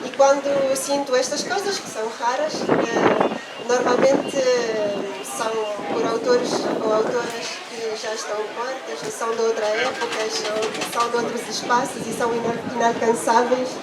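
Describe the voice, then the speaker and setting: A woman's voice: a little high, level tone, normal speed, heavy background noise. A young adult woman, speaking Portuguese as a second language, talks hesitantly and thoughtfully about poetry at a book launch, with many restarts and fillers. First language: not Portuguese. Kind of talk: unscripted talk at a book launch. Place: book fair in a public space. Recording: echoey, mic far off, loud crowd behind.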